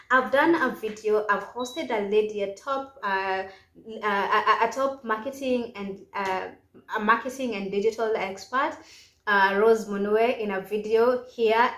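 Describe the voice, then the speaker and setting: A woman's voice: on the high side, a bit bright. A woman with a Kenyan accent giving casual, instructional career advice as a video host, stressing her points emphatically. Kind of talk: casual instructional vlog talk. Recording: echoey, roomy sound.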